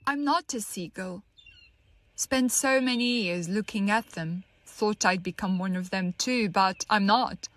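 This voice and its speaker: A woman playing a troubled, lonely young woman in an audio monologue, halting, wry and conversational as she muses bitterly. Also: medium pitch, a bit bright, natural voice. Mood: wistful, hesitant. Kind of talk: dramatic monologue, audio drama.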